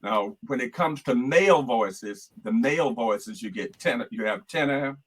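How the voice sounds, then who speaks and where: An older man with a husky, slightly breathy voice talks in a relaxed, reflective way. He often repeats a word while he finds the next thought, like a conductor chatting about his life in music. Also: mid-range voice, normal speed. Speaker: guest choral conductor. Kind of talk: casual chat.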